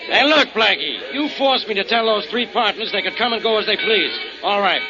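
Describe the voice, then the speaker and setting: Blustering high-pitched police inspector. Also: male voice, lively intonation, normal speed, music in the background, a crowd in the background. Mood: skeptical. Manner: blustering, grumpy. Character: Faraday, gruff, impatient, slow-witted police inspector man. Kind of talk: 1940s radio detective drama acting. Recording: dull lo-fi 1940s radio recording.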